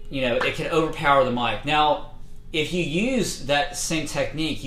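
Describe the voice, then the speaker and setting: A man teaching singing and breathing in a relaxed, conversational way, with many fillers, streamed live from indoors. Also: medium pitch, reverberant. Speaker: vocal coach. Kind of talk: casual instructional talk. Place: indoors, livestream setup.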